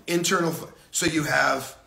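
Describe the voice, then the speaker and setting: A middle-aged man with a gravelly, raspy voice, a bit hoarse from a cold, giving a casual, blunt golf lesson on a livestream from an indoor simulator room. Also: on the high side, fairly even intonation, pushed and bright, roomy sound, a little hiss or hum. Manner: blunt, casual, drawn-out emphasis. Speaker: golf instructor.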